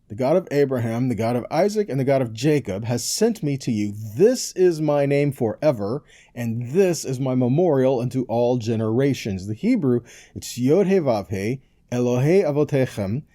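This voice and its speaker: A man's voice: medium pitch, dark tone. A man preaching in a conversational Bible-teaching style, emphatic and didactic, often putting questions to his listeners and checking that they follow. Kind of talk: conversational sermon, scripture teaching.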